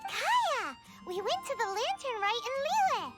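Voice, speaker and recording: A woman voicing a tiny, cheeky, excitable fairy-like girl in a video game, squeaky, childlike and exclamatory. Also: unhurried, over loud music, heavily acted. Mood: excited. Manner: exclamatory, indignant.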